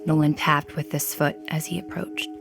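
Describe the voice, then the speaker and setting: A woman narrating a scripted dark story. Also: low voice, flat delivery, moderate pace, vocal fry, music in the background.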